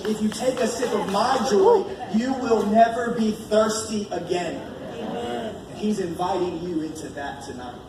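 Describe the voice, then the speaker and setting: A fairly high-voiced American man delivers a colloquial evangelistic sermon, leaning on repeated phrases for emphasis. His voice comes through a heavily echoing room, picked up from a distance. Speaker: preacher. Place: large echoey room at a live church gathering. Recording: echoey room from far off, noisy.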